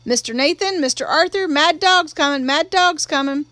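A woman's voice: sits high, animated, normal speed, fairly bright tone, dry recording. A woman voicing a stern, bossy housekeeper in an audiobook, speaking emphatic, folksy Southern dialect. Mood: urgent, shouting.

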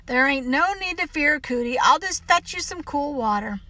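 Storybook part: gallant little country boy, voiced by a woman, Southern accent, high, earnest, well-mannered. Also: animated, moderate pace, sharp tone, no room sound. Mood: gentle. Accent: American South. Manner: polite, eager, reassuring.

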